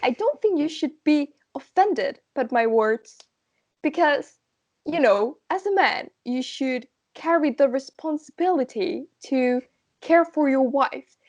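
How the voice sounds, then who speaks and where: A woman's voice: sits high, lively intonation, unhurried, darkish tone, highs cut off. A woman playing a smug, wealthy male film star, attempting an English accent over a Spanish one. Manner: haughty, condescending.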